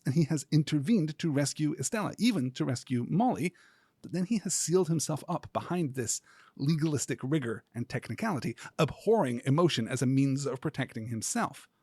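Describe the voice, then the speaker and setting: A man hosting a podcast as a scripted literary lecture, speaking in a measured, earnest, academic way. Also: on the high side, animated, a little mellow, breathy edge, dry recording, clean studio sound. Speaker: podcast host.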